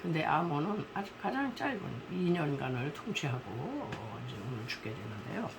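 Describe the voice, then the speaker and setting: A male pastor preaching with a hoarse, gravelly voice, slightly higher than most men. He pauses often and fills the gaps with soft "uh" sounds. His throat is clearly rough from a cold. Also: very animated, background noise. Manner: halting, with many fillers and pauses. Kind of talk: sermon with prayer.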